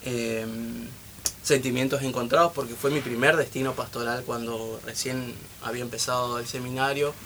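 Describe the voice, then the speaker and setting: Gravelly young man, hesitant and laughing. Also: medium pitch, fairly even intonation, moderate pace, noisy background. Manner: hesitant, laughs often, fillers. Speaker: newly ordained priest, guest. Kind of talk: casual radio interview.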